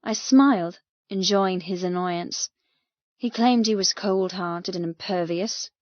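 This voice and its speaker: A woman's voice: lowish voice, slow, no room sound, highs cut off. A woman reading scripted first-person fiction as a dramatic audiobook narrator.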